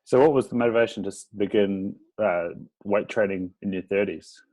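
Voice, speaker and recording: A man hosts a podcast interview, asking curious follow-up questions. His voice is very deep. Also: normal speed, a little mellow. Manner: inquisitive, asks follow-ups. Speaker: podcast host.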